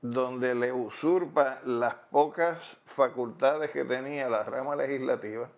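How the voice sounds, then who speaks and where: A man answering interview questions in long, deliberate, argued sentences. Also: mid-range voice, highs cut off. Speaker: interviewee on fiscal policy. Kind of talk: measured explanatory interview talk.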